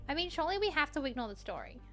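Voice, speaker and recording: A woman livestreaming a game, switching between reading the text aloud and reacting off the cuff in an animated way. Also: high-pitched, very fast. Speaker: game streamer. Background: background music.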